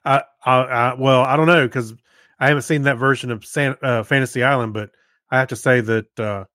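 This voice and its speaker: Man in halting casual chat, dry sound. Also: medium pitch, steady tone, bright, forceful tone, dry recording. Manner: halting, rambling, self-correcting. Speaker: podcast co-host.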